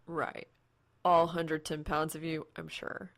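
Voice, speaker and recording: A woman's voice: low-pitched, level tone, takes it slowly. A woman voicing an awkward, sardonic young man gamer in an audiobook, dry and self-deprecating. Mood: dry, guarded. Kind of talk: audiobook character dialogue.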